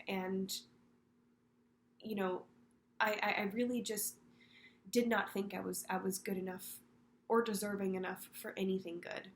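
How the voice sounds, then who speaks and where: Young woman all stuffed up from a cold, earnest, trips over her words a bit, faint hiss behind. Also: mid-range voice. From Florida. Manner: earnest, halting with repeated words. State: congested from a cold. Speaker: student candidate. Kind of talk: prepared personal video statement.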